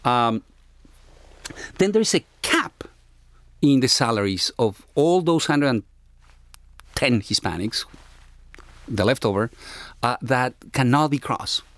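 A middle-aged man from Ecuador with an Ecuadorian Spanish accent, speaking reflectively as an interviewee in a halting, searching way. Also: mid-range voice, expressive, a bit bright, no room sound. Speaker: interviewee, university academic.

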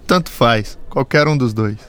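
A man reading his part in a scripted lesson dialogue, clearly and at a measured pace. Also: medium pitch, animated, a bit bright. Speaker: dialogue reader.

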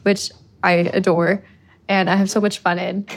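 A woman's voice: mid-range voice, flat delivery, normal speed, slight background noise. Chatty young woman from Costa Rica. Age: young adult. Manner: chatty, lots of likes and ums. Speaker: undergraduate student guest. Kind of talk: casual interview.

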